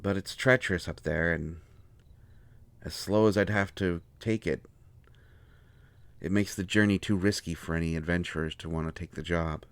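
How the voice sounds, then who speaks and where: A man playing a proud, hurt, lovestruck healer in an intimate audio roleplay, speaking earnestly and haltingly with deep feeling. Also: steady tone, clear, resonant tone, no room sound. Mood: weary, explaining. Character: proud, wounded, lovelorn male healer with a limp.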